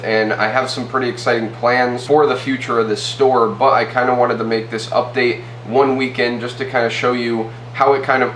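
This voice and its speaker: A young man with a blocked, stuffy nose talks straight to the camera in a quick, loose way full of fillers. His voice sits mid-range and carries the echo of a small bare room. A faint hum runs underneath. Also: medium pitch, a bit bright. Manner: fast, loose, filler-heavy talk. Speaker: e-commerce YouTuber. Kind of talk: casual to-camera update.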